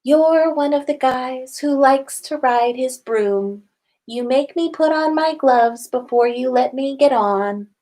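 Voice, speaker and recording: Woman putting on a high, dreamy teenage witch girl. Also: slow, mellow. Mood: dreamy teasing. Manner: dreamy, teasing.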